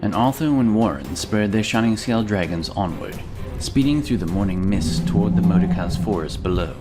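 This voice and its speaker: A low-pitched male narrator reads a scripted fantasy adventure over prominent music. His delivery is measured and dramatic, sitting deep in his register. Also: lively intonation. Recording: music behind the voice.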